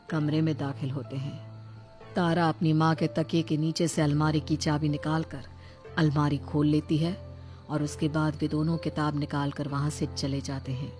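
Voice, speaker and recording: Female narrator, low register, scripted bedtime-story storytelling over faint music. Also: warm tone.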